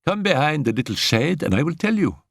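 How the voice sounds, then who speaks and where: Brief: a gleeful, scheming little girl in a storybook, voiced by a man reading, high and shrill, close and dry. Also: sing-song, fairly quick, creaky, no room sound, exaggerated voice. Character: scheming, excitable young girl.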